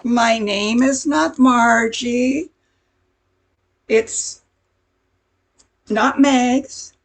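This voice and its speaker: A brash, touchy diner waitress, acted by a woman, drawn out and slangy. The voice sits fairly high but is husky and full of fry, made for radio comedy wisecracks. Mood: exasperated. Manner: tough-talking wisecracks with slangy grammar. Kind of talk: radio comedy play acting.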